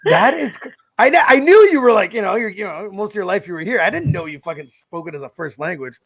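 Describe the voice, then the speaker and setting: A man hosting a casual podcast over a video call, excitable, laughing often and swearing casually. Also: sits high, quick pace. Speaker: podcast host. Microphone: home video call mic.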